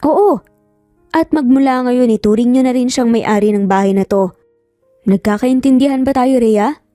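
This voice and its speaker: A woman's voice: on the high side, moderate pace, mellow, dry recording, clean studio sound, natural voice. Woman playing bossy rich boss man, curt, commanding, dismissive, romance audio drama, faint music behind.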